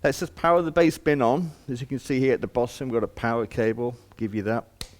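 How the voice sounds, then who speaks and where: A man's voice: medium pitch, fast talker. A man giving an informal hands-on demonstration as a shop presenter, explaining step by step in plain, casual words.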